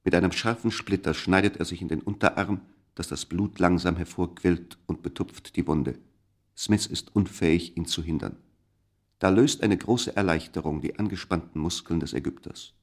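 Serious storyteller for a radio play, really low voice, measured scripted narration. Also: male voice. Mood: grave, tense. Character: grave, measured male storyteller of a mystery tale.

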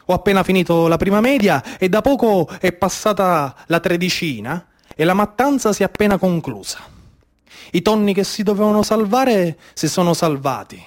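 A Sicilian man delivers a theatrical monologue in a strikingly high-pitched voice. He tells it like an incantation, in rhythmic, repeating phrases. His accent and dialect are strongly Sicilian. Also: animated, normal speed. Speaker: theatre storyteller and actor.

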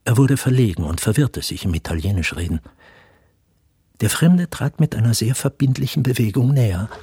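A man's voice: mid-range voice, lively intonation, normal speed, warm tone, husky, no echo, studio quality. A man narrating a literary radio drama from a script.

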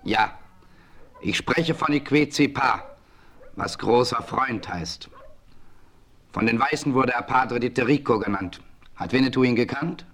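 An actor playing an outspoken settler farmer in a radio play, speaking earnestly and with passion. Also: male voice, mid-range voice, a little slow, pauses often, a bit bright. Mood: impassioned. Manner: earnest, impassioned.

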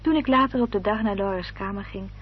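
An actress playing a sensible, protective elder sister in a radio drama, composed and articulate. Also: female voice, medium pitch, fast, lightly acted. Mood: composed narration. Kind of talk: radio drama acting and narration. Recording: dull old radio recording, faint hum.

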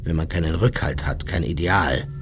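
An actor playing an ageing, unrepentant former SS officer in a radio documentary, husky, blunt and self-justifying as he recalls the war. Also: a bass voice, normal speed, a little hiss or hum. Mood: nostalgic, earnest. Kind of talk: dramatised memoir monologue in a radio documentary.

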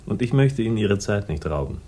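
A man playing a dry, wry, laconic private investigator in a 1960s German radio drama, deadpan and understated. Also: fairly low pitch, brisk, breathy edge. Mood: dry, polite. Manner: deadpan, understated, clipped replies. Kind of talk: 1960s German radio drama acting and first-person narration. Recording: 1960s radio recording with faint noise.

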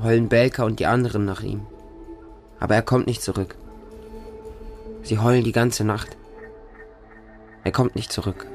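An actor narrating a radio drama as a bleak, hardened homeless Russian street boy, in short, stark sentences. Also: lowish voice. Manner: short, plain, stark present-tense sentences.

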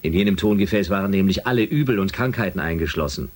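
An educated spaceship commander with a deep voice that swings widely in pitch. He explains things with thin patience in the manner of a science fiction radio drama. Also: expressive, fast talker. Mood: lecturing. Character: male spaceship commander, educated, easily irritated.

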